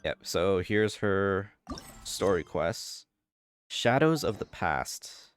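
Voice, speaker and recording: A young man giving casual gameplay commentary, low-key and thinking aloud as he goes. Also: expressive, takes it slowly. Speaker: gaming video creator. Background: game music.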